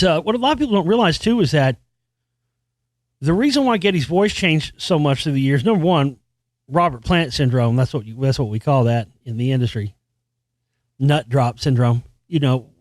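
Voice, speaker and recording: A man hosting his own podcast in a loose, wandering monologue. He often restarts sentences and swears casually. His voice sits a bit high for a man, recorded dry with no room sound. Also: a little mellow, no echo. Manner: experienced singer with a high singing voice. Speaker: podcast host. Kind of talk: casual solo monologue about music.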